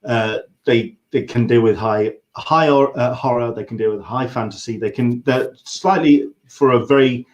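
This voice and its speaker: A man's voice: medium pitch, normal speed, few pauses, muffled recording. An English man hosting a casual interview, circling hesitantly around his questions. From England. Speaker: interview host.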